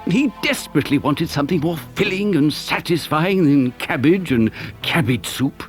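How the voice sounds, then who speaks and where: A man's voice: fairly high pitch, expressive, moderate pace, faint music behind, natural voice. An actor playing an exuberant, boastful chocolate maker who tells a children's story theatrically, savouring his words. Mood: relishing, dramatic. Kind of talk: dramatised children's story narration.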